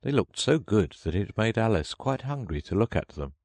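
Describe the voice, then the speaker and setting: A man's voice: fairly low pitch, big pitch swings, normal speed, darkish tone, dry recording. A man reading a children's story aloud as scripted audiobook narration.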